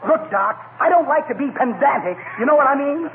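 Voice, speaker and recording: An actor playing a puzzled, polite, streetwise cab driver with plain working-class speech in 1940s radio comedy. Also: male voice, very high-pitched, animated, brisk. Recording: tinny 1940 radio recording, faint noise.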